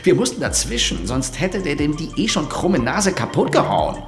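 A man's voice: on the high side, big pitch swings, normal speed, faint music behind. An actor playing a vain, falsely cheerful company man with an affected, gossipy manner in a comic radio crime drama. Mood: gossipy, indignant. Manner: affected, gossipy, theatrical, sprinkles English buzzwords.

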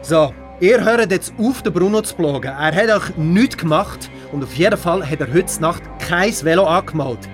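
A man's voice: a little high, animated, moderate pace, faint music behind, close to an everyday voice. A man playing a protective, indignant but caring father in a children's audio story.

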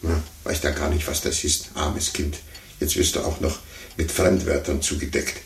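An actor playing a pompous, condescending sore loser, stammering and blustering in a 1950s radio play. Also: male voice, very deep voice, fairly even intonation, moderate pace. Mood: smug, lecturing. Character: pompous adult man, a blustering, sore-losing chess player. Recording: roomy, noisy 1950s radio recording.

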